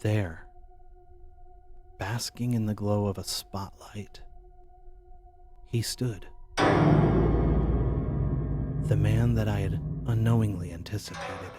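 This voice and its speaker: An actor playing a haunted, paranoid man who narrates his own mystery in an audio drama, brooding and deliberate, with a husky voice. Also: lowish voice.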